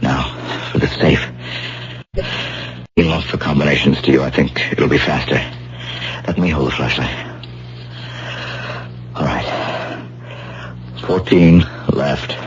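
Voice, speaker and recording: A wary man in over his head on a heist, in a vintage radio drama. His voice is very low, his talk terse and halting. The recording is dull, with a faint hum under it. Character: uneasy, deep-voiced man drawn into a risky heist. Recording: muffled, humming old radio broadcast recording.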